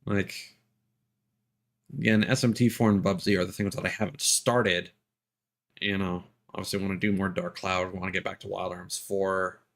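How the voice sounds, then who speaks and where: A man's voice: sits low, little pitch movement, normal speed. A man giving casual, rambling commentary as he streams a video game live.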